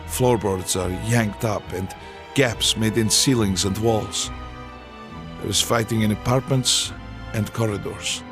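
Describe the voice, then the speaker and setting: An actor playing a solemn, steadfast Soviet soldier, gravely reading wartime testimony in a video game. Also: male voice, lowish voice, fairly even intonation, measured pace, close to an everyday voice. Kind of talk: dramatic quotation reading, video game cutscene. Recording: music behind the voice. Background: loud music.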